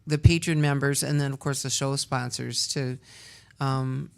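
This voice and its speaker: Woman, from Wisconsin, theatre rep, gravelly, talkative, laughs often. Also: a bass voice, little pitch movement, a little slow, darkish tone. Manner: talkative, laughs often, trails off mid-sentence. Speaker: community theatre representative. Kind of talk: casual radio interview.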